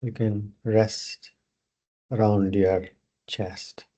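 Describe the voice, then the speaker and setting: A man teaching yoga, guiding a meditation in short phrases with long pauses between them. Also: lowish voice, little pitch movement, warm tone. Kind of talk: guided meditation instruction.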